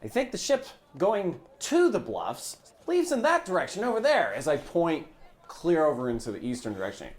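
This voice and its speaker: A man's voice: a little high, huge pitch swings, normal speed. A man playing a tabletop game, talking casually through plans and speaking for his character. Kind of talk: casual in-game planning talk.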